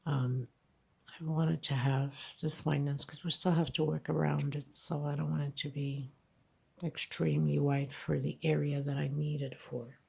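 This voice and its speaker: A woman's voice: low-pitched, little pitch movement, normal speed, gravelly, mellow, breathy edge. A congested woman teaching a crochet tutorial, counting stitches aloud and checking in with frequent okays. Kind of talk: hands-on tutorial narration.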